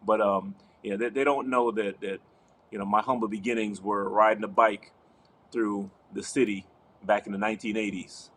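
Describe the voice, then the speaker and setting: A man in his fifties, born in Trinidad, with a mixed Trinidadian, New York and Georgia accent, chatting warmly as a defense attorney guest who tells stories and restarts his sentences. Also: lowish voice, flat delivery, moderate pace, a little hiss or hum. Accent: mix of Trinidadian, New York and Georgia. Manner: friendly, storytelling, repeats words as he starts. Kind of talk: casual interview chat.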